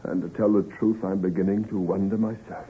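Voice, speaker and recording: An actor playing a troubled, discreet gentleman in an old-time radio drama. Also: low-pitched, fairly even intonation, brisk, close to an everyday voice. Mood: troubled, subdued. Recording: muffled, noisy vintage radio recording.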